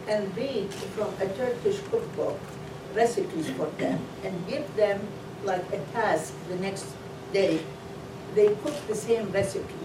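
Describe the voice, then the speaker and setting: Middle-aged woman from iraq, slow halting talk, roomy hall with loud background noise. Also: mid-range voice. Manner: slow, halting, many fillers. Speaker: food truck co-owner, guest. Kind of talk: panel talk, personal story. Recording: roomy, distant mic, loud ambience.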